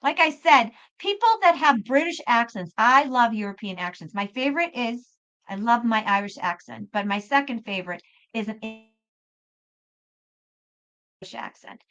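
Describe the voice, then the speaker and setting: A woman's voice: a little high, lively intonation, normal speed, fairly bright tone. A woman hosting a live tarot reading, chatting casually with viewers in an emphatic way and often repeating her phrases. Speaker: host and tarot reader. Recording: dull lo-fi live stream audio.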